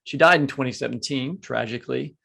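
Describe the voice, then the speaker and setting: A man's voice: medium pitch, brisk, vocal fry, sharp tone, husky. A man, co-hosting a podcast and putting questions to a guest in a conversational way. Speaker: podcast co-host. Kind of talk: conversational interviewing.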